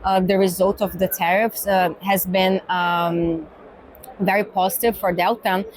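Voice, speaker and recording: A woman with a Brazilian accent talks through her answers at a medium pitch, pausing on "uh" and "like" as she thinks. She checks in with a tag "right?" after her points. It is a relaxed, earnest interview at a trade exhibition. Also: a little hiss or hum, low-quality recording. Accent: Brazilian Portuguese. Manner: thoughtful, many fillers and tag "right?". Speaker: guest, pharma cargo executive. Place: exhibition hall.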